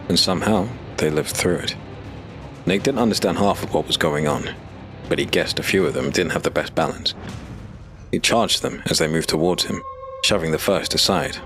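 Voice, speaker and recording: A man narrating a dark fantasy audio drama in a measured, steady storytelling voice. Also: low-pitched. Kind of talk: scripted narration. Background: music.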